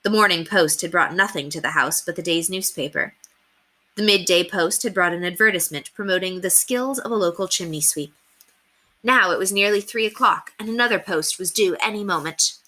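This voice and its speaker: A woman reading a children's story aloud as a teacher would, with deliberate emphasis on key words. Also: lowish voice, pushed and bright. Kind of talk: expressive storybook read-aloud narration.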